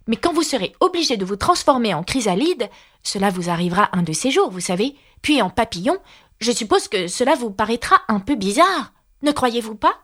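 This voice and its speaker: A woman's voice: on the high side, sing-song, brisk, a bit bright, pitched above the performer's own voice. A woman playing a polite, earnest young storybook girl who explains herself at length and is easily puzzled.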